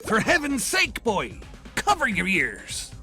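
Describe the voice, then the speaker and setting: A man voicing a crusty old male crab boss in a cartoon game, gruff, grumbling and gravelly. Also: sits high, wildly swooping pitch, slow, bright, forceful tone, soft background music, full character voice. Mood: exasperated.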